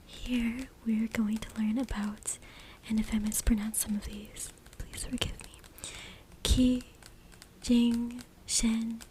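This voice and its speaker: A woman whispers an ASMR reading in a soft, deliberate way, with a slightly high voice that stays very even. She is close to a USB mic, and there is clear noise with clicks behind her. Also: low-quality recording. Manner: soft, hushed, deliberate whispering with repeated words. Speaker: ASMR creator reading from a book. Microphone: close USB microphone. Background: noise, tapping and clicking sounds.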